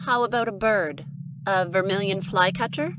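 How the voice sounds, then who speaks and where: A woman playing a sly, falsely friendly alien director in a science fiction audio drama, speaking coyly and deliberately. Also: medium pitch, heavy background noise, close to an everyday voice. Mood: coy, pleased.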